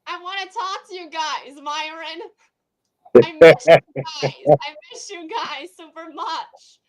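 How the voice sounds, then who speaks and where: Slow-talking woman with a high, halting voice. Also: big pitch swings, darkish tone, rough recording. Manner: halting, repeats words and phrases. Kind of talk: casual chat.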